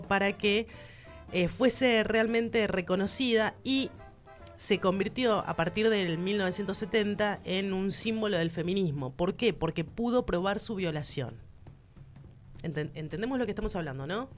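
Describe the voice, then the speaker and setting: A woman's voice: mid-range voice, normal speed, faint music behind. A woman with a Rioplatense accent hosting a casual art-history segment, chatty and emphatic, speaking straight to the listener. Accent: Rioplatense Spanish. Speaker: culture segment host.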